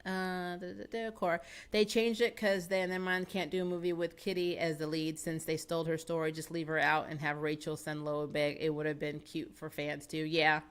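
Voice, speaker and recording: An American woman in her thirties chats on her live stream, animated and quick to laugh, thinking aloud with plenty of fillers. Her voice sits a little low for a woman. She speaks close into a boom mic in a small home studio. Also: smooth. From United States. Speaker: live-stream host reading chat. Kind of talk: casual chat. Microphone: boom-arm studio microphone close to the mouth.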